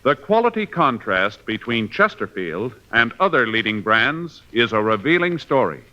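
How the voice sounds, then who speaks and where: A man's voice: mid-range voice, sing-song, normal speed. A man announcing in an emphatic, formal 1950s radio style. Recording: dull old radio recording, loud music.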